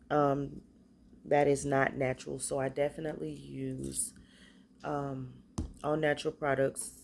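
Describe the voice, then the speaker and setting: A woman with a stuffy cold, casually showing off the spiritual bath and body products she makes, talking in a halting, hesitant way. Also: extremely low pitch, gravelly, a little mellow, slight background noise.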